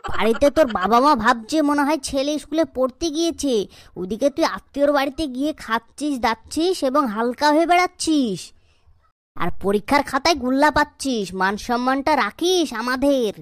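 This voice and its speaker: A woman voicing a strict, scolding schoolteacher in a comedy cartoon, stern and quick to interrogate and threaten. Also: high voice, expressive, no echo, clean studio sound. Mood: angry scolding.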